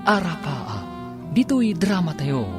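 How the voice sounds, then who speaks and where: A man with an Ilocano accent announces a radio drama. His delivery is lively, swinging widely in pitch, with prominent music playing behind him. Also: takes it slowly. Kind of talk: scripted radio drama introduction.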